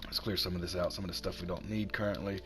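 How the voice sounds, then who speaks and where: A man with a cold, hoarse and a little raspy, chatting casually while he builds in a video game and talks himself through each step. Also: sits low, little pitch movement, fast talker, a bit bright, soft background music. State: hoarse from sinus infection. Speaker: gaming channel host.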